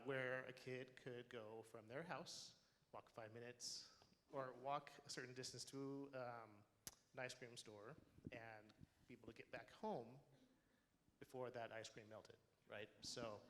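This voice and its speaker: A man's voice: mid-range voice, pushed and bright. A man at a community forum sharing his group's ideas in a rambling way, full of "um" and "uh". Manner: rambling, filled with "um" and "uh". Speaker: forum attendee. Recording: echoey hall with faint noise.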